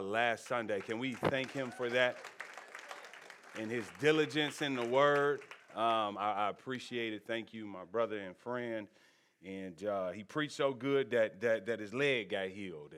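A man's voice: fairly low pitch, fairly bright tone. A man preaching a sermon as pastor, folksy and joking, with laughter and asides to the congregation in a church sanctuary.

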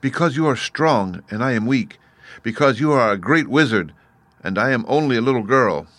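A man's voice: medium pitch, expressive, normal speed, a bit bright, no echo, voice pushed up, lightly acted. A man voicing a small, earnest, helpless little girl in a storybook, with a plaintive, simple delivery. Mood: earnest pleading. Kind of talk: storybook character voice.

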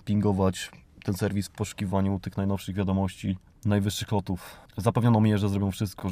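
Low-voiced Polish man hosting a podcast. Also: mellow, dry recording. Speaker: podcast host. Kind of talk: tongue-in-cheek podcast monologue.

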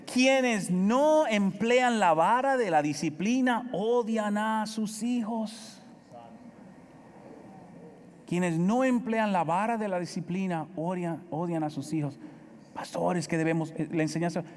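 A man with a Dominican accent preaching a sermon as pastor, pressing his points with emphatic repetition and swinging between loud and soft. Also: high-pitched, in a large hall. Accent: Dominican Spanish. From Dominican Republic.

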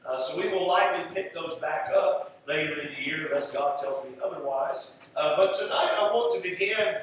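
A man preaching a conversational Bible-teaching sermon, halting at times and repeating phrases for emphasis. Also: on the high side, fairly bright tone. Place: echoey church room. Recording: roomy echo, distant mic, faint noise.

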